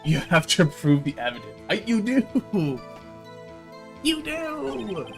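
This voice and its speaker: A man's voice: sits high, wildly swooping pitch, slow, vocal fry, soft background music. A man doing casual game stream commentary, swearing freely and ranting in exasperation as he plays. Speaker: streamer playing and reading a visual novel aloud.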